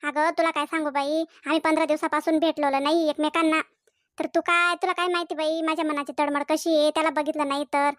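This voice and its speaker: A woman's voice: sits high, flat delivery, quick pace, fairly bright tone, no room sound, studio quality. A woman voicing a lovesick, emotional young village woman in a cartoon comedy, who pleads with and reproaches her sweetheart. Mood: pleading.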